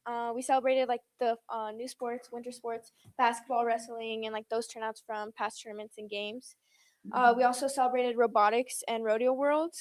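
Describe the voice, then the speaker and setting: A woman's voice: on the high side, level tone, moderate pace. A teenage girl from student council giving a chatty report to the school board. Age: teens. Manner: chatty, with many fillers like "like".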